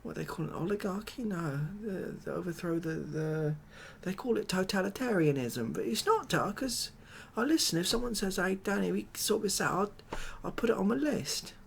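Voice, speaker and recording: A British man doing a soft-spoken ASMR comedy roleplay as a glum, overwhelmed ex-builder, rambling along in a hesitant, deadpan way. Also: high-pitched, moderate pace, vocal fry, hardly any pauses, slight background noise. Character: glum, overwhelmed ex-builder made president of the world.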